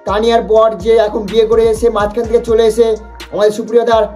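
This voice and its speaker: A person hosting a comic roast in a mocking, exaggerated, excitable way. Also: medium pitch, fast. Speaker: prank video host and roaster. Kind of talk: teasing comic roast commentary. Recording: music behind the voice. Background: music.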